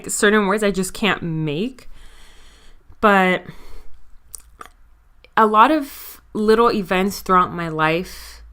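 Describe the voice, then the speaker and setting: A young woman in her twenties hosts her own podcast, talking to listeners in a candid, confiding way. Her voice is bright and forceful, smooth and crisp, with a mild stutter now and then. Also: medium pitch. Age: 20s. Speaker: podcast host. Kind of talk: casual personal monologue.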